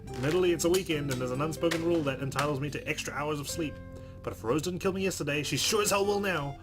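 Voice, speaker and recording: A man's voice: fairly high pitch, quick pace. A man reading a visual novel aloud as a let's play host, with casual asides. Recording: clear game music behind.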